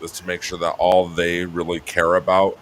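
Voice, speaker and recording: A man with a deep voice talking casually as a guest on a chat show, laughing often. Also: completely flat delivery, moderate pace. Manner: laughing, breaks into high shouty voice when mimicking himself. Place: New Mexico home.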